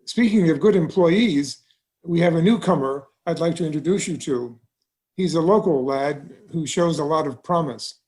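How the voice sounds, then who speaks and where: A man playing a genial, seasoned small-town bank president in a musical theatre table read, speaking in a courteous, measured way. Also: fairly high pitch, a little mellow, lightly acted.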